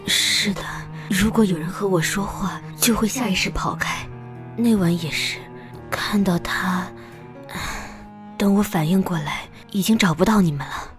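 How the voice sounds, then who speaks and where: Woman doing shy sad ghost mom, soft breathy anime drama voice, light music behind. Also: medium pitch, a little slow, natural voice. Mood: timid. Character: timid, soft-spoken, sorrowful mother ghost. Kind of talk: Chinese animated drama voice acting.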